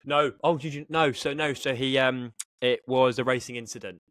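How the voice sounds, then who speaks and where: A man's voice: mid-range voice, steady tone, normal speed, a bit bright, no room sound, studio quality. A man co-hosting a motorsport podcast, talking casually and emphatically as he tells a story. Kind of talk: casual sports discussion.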